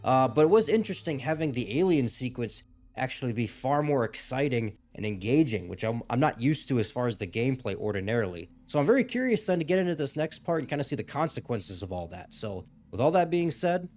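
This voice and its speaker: A man's voice: mid-range voice, very animated, fairly quick, darkish tone. Slightly ill man rambling over gameplay. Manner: rambling, hesitant, with frequent restarts and fillers. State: a little ill, losing his voice. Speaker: gaming YouTuber. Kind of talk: casual playthrough commentary.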